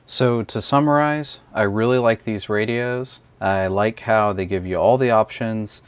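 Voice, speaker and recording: Male reviewer, low voice, hesitant filler-heavy delivery, dry close-miked sound. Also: unhurried, clear, resonant tone, no room sound. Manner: hesitant, many ums and uhs, thinks aloud. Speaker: product reviewer. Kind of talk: casual unscripted product demo.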